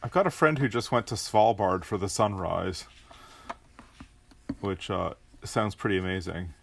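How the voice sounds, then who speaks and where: A man chatting casually as a podcast guest, halting and curious. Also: low-pitched, gravelly, a little hiss or hum. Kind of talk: casual chat.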